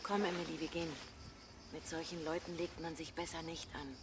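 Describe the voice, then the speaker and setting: A woman's voice: deep voice, little pitch movement, a little fast, noisy background, lightly acted. An actress playing a patient but firm grown-up neighbour woman in a radio play. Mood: firm, resigned.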